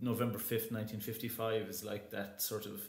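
A man's voice: on the low side, level tone, brisk. Chatty man in a roomy echo. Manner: chatty, many restarts and fillers. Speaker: guest, opera singer. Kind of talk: casual interview talk.

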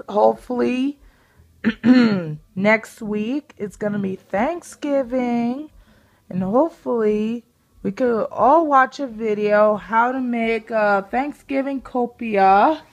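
A woman talking slowly and deliberately to her dolls and viewers, recorded close on a device camera in a small bedroom. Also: on the high side. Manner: slow, deliberate, repeats herself. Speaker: doll vlogger. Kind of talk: casual chat to dolls and viewers.

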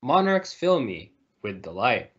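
A man reads a picture book aloud for young children. His mid-pitched voice rises and falls in a very animated way, heard through dull, lo-fi video-call audio. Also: a little slow. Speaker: storybook reader.

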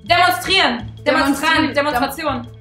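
A woman's voice: sits high, moderate pace. A woman in her twenties casually calling out guesses in a party game. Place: bedroom, indoors. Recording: roomy echo, faint music.

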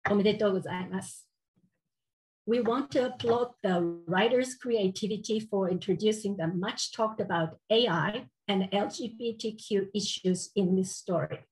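An older woman, a native Japanese speaker with a Japanese accent, giving a prepared formal speech as a contest judge in a measured way. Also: mid-range voice, darkish tone, low-quality recording. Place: indoors on a video call, virtual backdrop.

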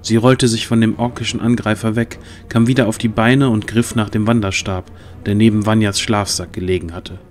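Low-voiced male fantasy narrator. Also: flat delivery, moderate pace, faint music behind. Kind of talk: scripted dramatic fantasy narration.

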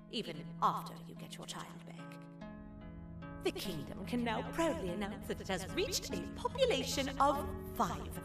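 Lilting, high-voiced kangaroo queen. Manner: formal, measured, regal. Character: giant purple kangaroo queen, regal, gracious, gentle. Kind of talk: video game character acting. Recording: roomy, distant, under loud music.

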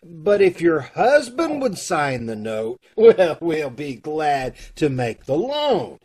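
An actor playing a fussy, flustered loan officer in an old-time radio comedy, with hesitant, businesslike patter over a video call. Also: male voice, fairly high pitch, huge pitch swings, slow pace, darkish tone, heavily acted. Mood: flustered, apologetic. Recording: live video-call recording.

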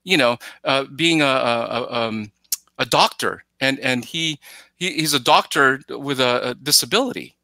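A man's voice: mid-range voice, moderate pace, pushed and bright, dry recording, clean studio sound. A middle-aged man chatting casually about films in a rambling, emphatic way, slipping into imagined quotes, on a headset in a video call. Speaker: podcast guest commentator. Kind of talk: casual film discussion. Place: indoors, plain room, video call.

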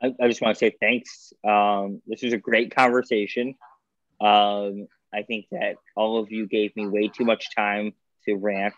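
Casting: low-voiced male legislator, earnest and rambling, dry muffled call audio. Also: flat delivery, unhurried, no echo. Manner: earnest, self-aware, rambling. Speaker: state senator. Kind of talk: virtual town hall discussion. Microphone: video call.

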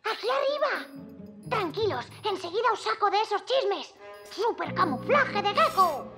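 A woman's voice: very high-pitched, sing-song, normal speed, exaggerated voice. A woman with a Castilian Spanish accent voicing an eager, plucky little boy superhero in a cartoon dub, enthusiastic and exclamatory. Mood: reassuring. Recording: loud music under the voice.

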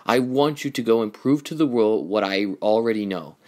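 A man voicing a cocky, excitable young talking race car in an amateur cartoon audition, with theatrical, emphatic delivery. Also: fairly low pitch, normal speed, close to an everyday voice. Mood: amazed, excited.

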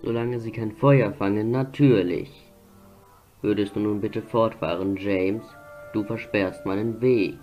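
A self-important cartoon steam locomotive, voiced by a man, complains in a low, pompous voice. The delivery is slow and grumbly, with music behind it. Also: fairly even intonation, highs cut off, natural voice. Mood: scornful. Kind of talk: cartoon fan dub acting.

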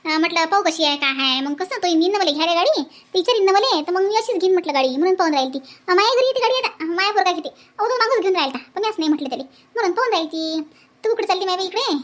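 A woman's voice: very high-pitched, expressive, rapid-fire. A woman voicing a jealous, boastful middle-aged village aunt in a comic cartoon, with a Varhadi Marathi accent and a nosy, scornful manner. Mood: flustered boasting. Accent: Varhadi Marathi from Vidarbha. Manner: nosy, scornful, muttering to herself.

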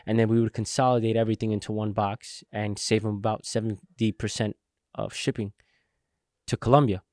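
A man's voice: lowish voice, a little fast, no room sound, studio quality. A man in his twenties, a guest from New Jersey, steadily telling his business story in an interview, recorded on a podcast mic in a warehouse set. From New Jersey, United States. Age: 20s. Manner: earnest, steady storytelling with fillers. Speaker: guest, logistics entrepreneur. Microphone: boom-mounted podcast mic.

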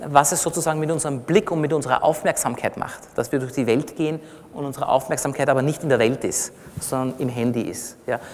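A man's voice: a little high, a little hiss or hum. Man, middle-aged, Austrian accent, didactic conversational lecture, short tag questions, big echoing hall. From Austria, born in Iran. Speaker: physician giving a lecture. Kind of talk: public lecture, explanatory and conversational. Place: on stage in a large congress hall. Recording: reverberant large hall.

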